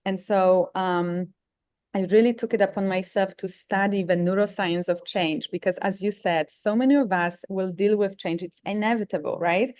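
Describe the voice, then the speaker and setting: A woman's voice: mid-range voice, normal speed, a little mellow, no echo, studio quality. A woman from Poland with a Polish accent, speaking English as her second language, telling her story earnestly as an interview guest. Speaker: guest author. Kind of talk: interview conversation.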